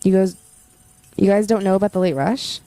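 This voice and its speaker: A woman's voice: mid-range voice, normal speed, warm tone, no echo, lightly acted. A woman playing a keen, friendly young campus journalist in a naturalistic audio drama, conversational and earnest. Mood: concerned.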